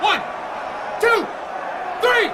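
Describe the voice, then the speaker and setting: Excited wrestling announcer, roaring crowd. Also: male voice, very high-pitched, drawn-out words, heavy background noise, exaggerated voice. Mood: thrilled. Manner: emphatic, dramatic. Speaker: play-by-play commentator. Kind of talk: wrestling commentary in a video game. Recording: loud music and roaring crowd behind.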